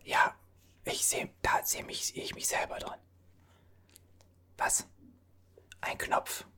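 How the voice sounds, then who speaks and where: A performer whispering as a bewildered time traveler from an older era, puzzling over strange objects in a halting voice full of restarts. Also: pushed and bright. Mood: puzzled. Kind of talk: whispered ASMR roleplay.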